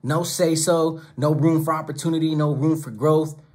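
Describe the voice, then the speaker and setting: Man, middle-aged, raised pitch, breathy husky tone, emphatic, preachy, repeats points in lists, close camera mic. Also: fairly even intonation, normal speed, a little mellow. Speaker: YouTube channel host. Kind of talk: direct-to-camera commentary. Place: small home studio room with monitors and speakers. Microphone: close camera, seated at desk.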